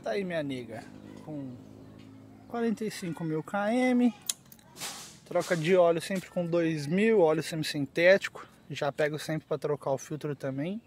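A man with a Brazilian accent and a high-pitched voice speaks in a casual, slangy way. He sounds like a vlogger talking into a handheld phone outdoors, addressing his viewers informally. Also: animated, a little slow, slight background noise. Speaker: motorcycle vlogger. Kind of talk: casual vlog talk to camera, slangy. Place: outdoors on a quiet roadside by trees.

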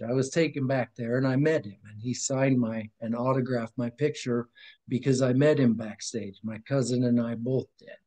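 A man's voice: medium pitch, a little mellow. Earnest rambling man on a video call. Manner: earnest, rambling, with restarts and sudden drops in volume. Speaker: podcast guest. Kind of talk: emotional personal testimony. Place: room at home with framed picture on wall. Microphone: video call webcam.

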